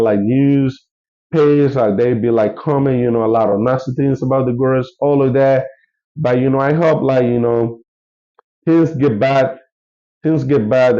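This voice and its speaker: A man's voice: mid-range voice, mellow, lo-fi sound. Man with a Colombian Spanish accent, rambling. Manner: rambling, many fillers and restarts. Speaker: travel vlogger. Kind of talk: casual vlog update.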